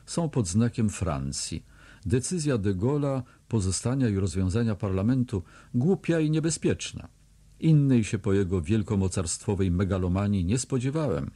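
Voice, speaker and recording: A man's voice: fairly low pitch, mellow, slightly rough. A man reading diary entries aloud as an audiobook narrator, with a dry, sardonic delivery that lands hard on the insults. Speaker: audiobook reader.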